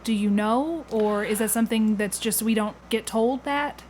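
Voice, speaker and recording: A woman's voice: on the high side, normal speed, a little mellow. A woman hosting a casual podcast interview, chatting in a conversational way. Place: indoor room at home next to a garage. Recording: buzzing air conditioner noise behind the voice. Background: air conditioner hum.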